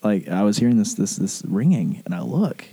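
A man from the rural American South telling a personal story casually, in animated, rambling speech full of profane slang. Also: fairly low pitch, normal speed, creaky, slightly rough, no echo. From southern United States, rural Alabama.

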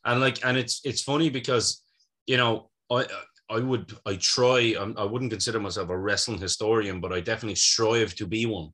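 Podcast host: man, bright and forceful tone, eager and chatty. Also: lowish voice, fairly even intonation, fairly quick. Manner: eager, chatty, laughs often. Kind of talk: casual interview chat.

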